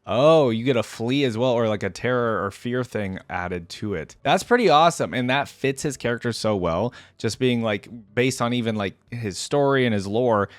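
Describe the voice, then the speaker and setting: A man's voice: mid-range voice, normal speed, hardly any pauses, no room sound. A man giving casual reaction commentary as the host of a gaming video.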